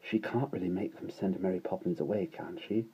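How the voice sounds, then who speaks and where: A man voicing an anxious little boy who speaks in a hushed whisper, on tinny phone-like audio. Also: on the low side, very animated, a little fast, voice pushed up.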